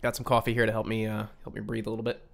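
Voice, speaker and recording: A young man from Western New York hosting a casual live stream, a little raspy and congested from a cold, chatty and reading out the chat. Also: fairly low pitch, completely flat delivery, racing. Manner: chatty, reads out chat with friendly asides.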